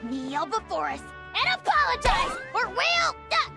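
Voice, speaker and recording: Game creature: bratty little dragon tyrant, haughty, high and theatrically animated. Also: unhurried, gravelly, music in the background, full character voice. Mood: indignant. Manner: pompous, haughty. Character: tiny pompous self-styled dragon lord, bratty, demanding. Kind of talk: video game voice acting.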